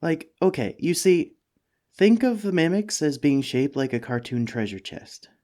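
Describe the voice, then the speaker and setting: A man's voice: a little high, very animated, a little slow, darkish tone. A man voicing an anxious, self-deprecating mimic monster living as a talking couch, hesitant and pleading in a comedic ASMR roleplay. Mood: earnest, explaining. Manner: hesitant, pleading, stammering, rambling.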